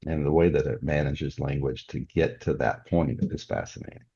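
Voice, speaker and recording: Older male interviewee, extremely low and rough-edged, conversational, lo-fi sound. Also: moderate pace, warm tone. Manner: chuckles often as he talks. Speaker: interview guest, author. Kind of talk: casual podcast interview. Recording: dull, muffled lo-fi audio.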